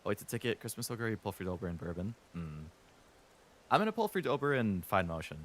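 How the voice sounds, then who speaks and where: A man streaming a game, chatting casually and rambling a bit, stuttering now and then when he talks fast. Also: lowish voice, big pitch swings. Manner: rambling, chatty, lots of fillers.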